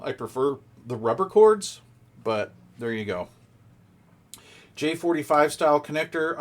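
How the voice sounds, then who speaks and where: A man giving a casual, hands-on unboxing commentary, explaining details informally and thinking aloud as he goes. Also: medium pitch. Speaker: product reviewer.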